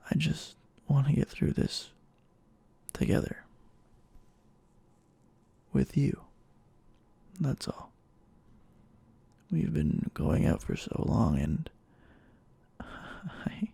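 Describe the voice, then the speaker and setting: Yandere boyfriend, played by a man, for ASMR roleplay: gravelly, breathy, slow, halting, anxiously devoted. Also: on the high side, warm tone, no echo, full character voice. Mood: pleading, devoted. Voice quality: breathy, husky half-whisper.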